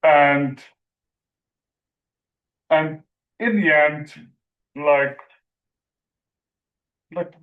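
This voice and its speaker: A man from Iceland with an Icelandic accent giving an academic presentation, speaking haltingly with many fillers like "like" and "you know". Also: a little high, fairly bright tone, highs cut off.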